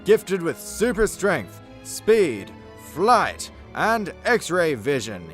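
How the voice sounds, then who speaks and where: A man's voice: a little high, sing-song, very slow, soft background music, heavily acted. A man narrating a scripted superhero comedy radio play with a dramatic announcer's delivery.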